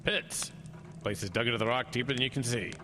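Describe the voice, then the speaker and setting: A man's voice: mid-range voice, brisk, close to an everyday voice. An actor playing a grave, suspicious man in an audio drama, probing and serious. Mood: grave, unsettled. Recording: radio drama with storm ambience noise.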